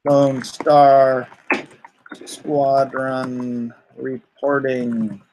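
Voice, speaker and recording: Slow-paced man in casual livestream chat. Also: mid-range voice, noisy background. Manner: informal, excitable exclamations, halting as he reads and types aloud. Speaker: livestream host and comic artist. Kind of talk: casual livestream chat, reacting and thanking viewers.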